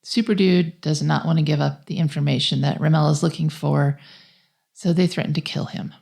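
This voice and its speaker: A woman talks through a film as a podcast co-host. Her voice sits very low for a woman, with a soft, mellow tone and plenty of vocal fry. The delivery is conversational. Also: fairly even intonation, normal speed. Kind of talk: conversational film plot recap.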